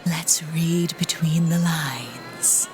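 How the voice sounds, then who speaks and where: A woman playing an ominous fortune teller, singing her palm readings theatrically in a musical. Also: low-pitched. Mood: hushed, mysterious. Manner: drawn-out, theatrical delivery. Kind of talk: sung musical theatre with spoken-whispered passages. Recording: prominent music behind the voice.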